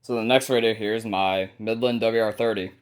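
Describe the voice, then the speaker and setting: A man's voice: fairly low pitch, steady tone, brisk. A man hosting a hobby video, narrating a casual show-and-tell of a collection.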